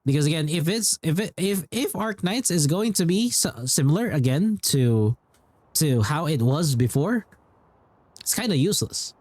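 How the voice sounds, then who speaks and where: A man's voice: a little high, expressive, normal speed, a little mellow, dry recording. Rambling male game streamer, dry close sound. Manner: rambling, repeats phrases, informal. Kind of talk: casual livestream chat and commentary.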